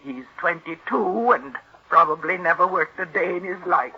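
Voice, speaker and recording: A stubborn, wealthy old recluse in an old-time radio drama. Her voice is husky and somewhat low-fi on a muffled vintage recording. She is curt, imperious and suspicious of everyone. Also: lively intonation, normal speed. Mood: cool, appraising. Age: older. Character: bitter, stubborn, reclusive rich old invalid aunt. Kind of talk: 1940s radio acting.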